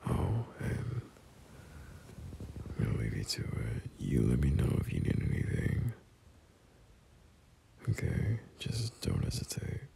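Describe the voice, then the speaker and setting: A caring young boyfriend acted by a man murmurs soothingly in an intimate ASMR roleplay. His voice is extremely low and full of fry, slipping into breathy whispers. The pitch wanders widely as he gently, hesitantly reassures. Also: wildly swooping pitch, takes it slowly, pauses often, slight background noise, heavily acted. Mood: tender, soothing.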